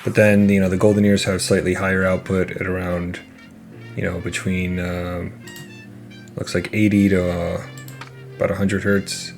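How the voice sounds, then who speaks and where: A man giving a casual, unscripted gear review as channel host, halting and rambling, often repeating his words. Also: low voice, level tone. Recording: roomy echo, distant mic, faint music.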